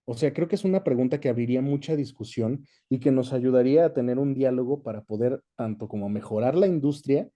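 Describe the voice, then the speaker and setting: Very dark, mellow-voiced Mexican man explaining. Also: mid-range voice, fairly quick, hardly any pauses. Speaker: interview guest, voice actor and dubbing director. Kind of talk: casual explanatory conversation.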